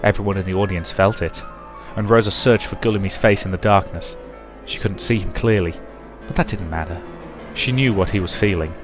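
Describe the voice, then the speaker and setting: Low-voiced man reading a visual novel. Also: brisk, hardly any pauses, music in the background. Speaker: let's player and narrator. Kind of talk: reading a visual novel aloud with casual commentary.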